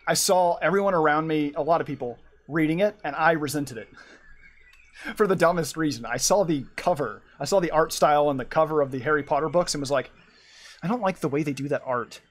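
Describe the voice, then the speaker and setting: A man's voice: a little high, a little fast. A young man in his twenties chatting and rambling on a live art stream as he draws, laughing often. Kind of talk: casual chat while drawing.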